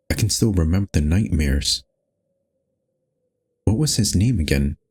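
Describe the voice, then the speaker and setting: Male game host, deep and husky, reading story text and dialogue aloud. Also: darkish tone. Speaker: gaming host. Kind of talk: reading visual novel narration and lines aloud.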